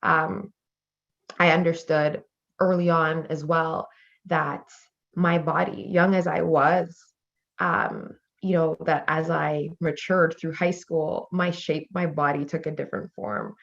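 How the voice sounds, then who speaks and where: Low-pitched woman in her thirties, candid panelist, muffled stream sound. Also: flat delivery, slow, vocal fry. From Canada. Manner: earnest, many fillers, rising intensity when upset. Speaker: panel guest.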